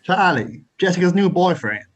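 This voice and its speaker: A man's voice: high-pitched, very animated, moderate pace, dull audio. A man playing an old, grumbling ghost grandfather in an amateur radio drama. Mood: conspiratorial.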